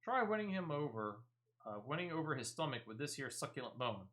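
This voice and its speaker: A man's voice: medium pitch, big pitch swings, a little fast, a bit bright. Man voicing a defensive rustic smuggler woman. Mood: grudging, sly. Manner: rustic dropped-letter speech, pleading and evasive. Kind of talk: video game dialogue read aloud.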